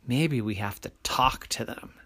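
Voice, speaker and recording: A man's voice: mid-range voice, a little slow, fairly bright tone, husky. A man voicing a timid, grumbling monster in a children's story, whiny and hesitant with exaggerated delivery. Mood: anxious, whiny. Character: timid, grumbling neighborhood monsters, anxious but softening. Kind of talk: children's storybook character voices.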